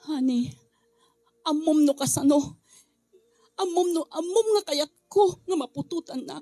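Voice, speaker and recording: A woman's voice: high-pitched, a little slow, dark tone, dry recording. A woman playing a pleading, aggrieved wife in radio drama style, with an Ilocano accent. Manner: pleading, emotional.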